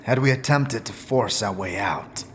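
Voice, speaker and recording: A man's voice: lowish voice, measured pace, slight background noise, natural voice. A man playing a chatty, jittery, wisecracking sidekick in a fantasy audio drama. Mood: relieved, subdued. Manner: hesitant, wisecracking, swooping.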